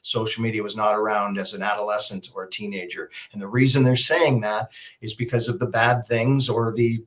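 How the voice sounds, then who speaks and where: A man's voice: medium pitch, fairly bright tone. Emphatic middle-aged Canadian man, polished speaker. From Alberta, Canada. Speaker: guest, public speaker and emcee. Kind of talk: interview answers, advice. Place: video call.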